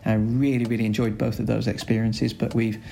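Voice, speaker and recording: A man with a Lancashire accent, a school's director of sport, answering podcast interview questions in a chatty, rambling way with many filler pauses. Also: on the low side, little pitch movement, gravelly, warm tone, slight background noise. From Lancashire, England.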